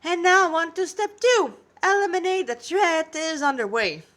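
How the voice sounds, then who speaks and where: A woman's voice: very high-pitched, animated, moderate pace, fairly bright tone, voice pushed up. A woman voicing a sarcastic, exasperated young male hero who grumbles informally in a storybook reading. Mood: determined.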